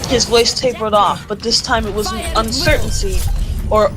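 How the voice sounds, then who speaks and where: A woman's voice: mid-range voice, steady tone, normal speed. A woman reading a story aloud in an amused, theatrical way and chatting casually on a voice call. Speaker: friend reading fan fiction aloud in a game call. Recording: voice call with game music behind. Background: video game music.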